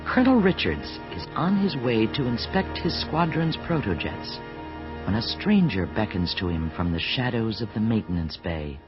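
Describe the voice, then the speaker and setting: An actor playing the dramatic narrator of a space adventure radio serial, with scripted suspense. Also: male voice, on the low side, very animated, normal speed. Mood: suspenseful. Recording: dull band-limited audio with music behind.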